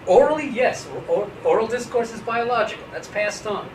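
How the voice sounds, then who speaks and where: A man's voice: extremely high pitch, animated, fairly quick. A man in his fifties being interviewed about writing, talking frankly and wryly with loose, casual language and frequent restarts. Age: 50s. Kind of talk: relaxed academic interview. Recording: echoey room, mic at a distance, noisy.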